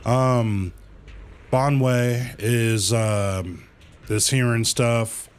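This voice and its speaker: A man livestreaming a game with casual commentary, thinking aloud in a halting way and repeating phrases. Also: medium pitch, steady tone, clear, resonant tone.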